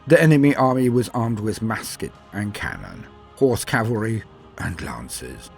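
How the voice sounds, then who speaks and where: A man narrating scripted stories in a dramatic, solemn and measured way, with emphatic swells and falling sentence endings. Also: lowish voice, big pitch swings, gravelly. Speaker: narrator and host of a fan fiction lore channel. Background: music.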